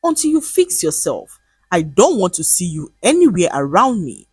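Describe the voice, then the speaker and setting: A woman's voice: fairly low pitch, big pitch swings, moderate pace, darkish tone, slightly rough, dry recording, clean studio sound, exaggerated voice. A woman voicing a disgusted, harsh boyfriend in a folktale, with cutting, accusatory delivery. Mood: cold.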